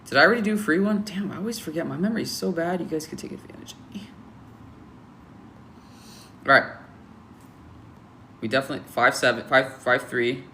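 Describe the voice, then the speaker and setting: Young guy on a live stream, bright punchy voice, blunt takes, lots of ums, jokes around with chat. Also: on the high side, brisk, long pauses, a little hiss or hum. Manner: blunt, offhand verdicts with many fillers; joking, teasing the chat. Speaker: livestream host rating viewers' photos.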